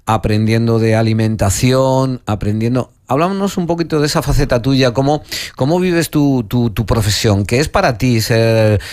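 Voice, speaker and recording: A middle-aged man hosting a radio interview, speaking in a halting way with many restarts. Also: medium pitch, darkish tone. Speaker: radio host.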